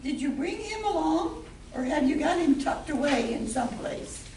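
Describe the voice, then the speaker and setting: A woman's voice: high voice, very animated, moderate pace, darkish tone. A woman playing a polite, curious friend in an amateur comedy play. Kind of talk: amateur stage comedy radio play acting. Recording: echoey room from far off, faint noise.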